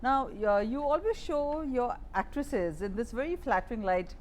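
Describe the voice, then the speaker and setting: Lively, highish woman interviewer. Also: normal speed, slight background noise. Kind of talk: conversational celebrity interview.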